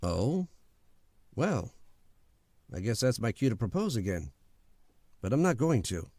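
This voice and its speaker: A man's voice: medium pitch, very animated, measured pace, a little mellow, dry recording. A man playing a blunt, easygoing, footloose suitor with a casual, candid manner in a radio drama. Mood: frank, earnest. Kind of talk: old-time radio drama acting.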